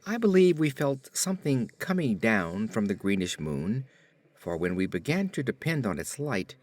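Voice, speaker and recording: A man reading a horror story as an audiobook narrator, with theatrical, deliberate phrasing. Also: mid-range voice, a little mellow.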